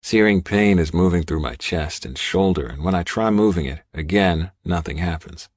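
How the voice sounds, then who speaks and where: A man's voice: deep voice, normal speed, dry recording, studio quality. A man reading first-person dramatic fiction as an audiobook narrator.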